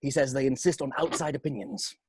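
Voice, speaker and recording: A man's voice: mid-range voice, steady tone, fairly quick, a little mellow, breathy edge, no room sound, natural voice. A man playing a charming, brash seventy-year-old tycoon in a script table read, with a jovial, showy delivery. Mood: boastful, confiding.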